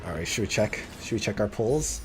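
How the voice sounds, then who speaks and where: A man streams a video game in a fairly low voice. He chats casually and thinks aloud with dry humour, while game music plays clearly behind him. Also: animated, brisk, rough recording. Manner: chatty, thinking aloud, dryly jokey. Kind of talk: casual livestream game commentary.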